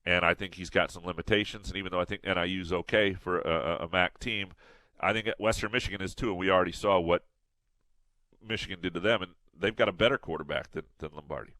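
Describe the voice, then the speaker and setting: A man's voice: low-pitched, fast, bright, forceful tone, no echo, low-quality recording. A man co-hosting a sports podcast in casual chat, a little nasal, rambling with restarts and fillers. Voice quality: slightly nasal.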